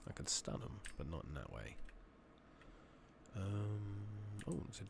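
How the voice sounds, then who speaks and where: A man doing soft-spoken, partly whispered ASMR game commentary, rambling along in a relaxed way as he thinks aloud. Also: fairly low pitch, measured pace, vocal fry, noisy background. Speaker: game streamer, ASMR host. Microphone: makeshift new close microphone.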